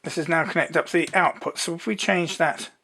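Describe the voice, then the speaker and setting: Medium-pitched voice, informal electronics demonstrator, unhurried, explanatory, hands-on delivery. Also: steady tone, sharp tone, slight background noise.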